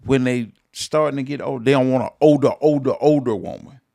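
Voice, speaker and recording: A pastor in his fifties co-hosting a casual talk show, emphatic and quick to repeat himself. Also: medium pitch, creaky, warm tone. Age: 50s. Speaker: pastor and podcast co-host. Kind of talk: casual chat.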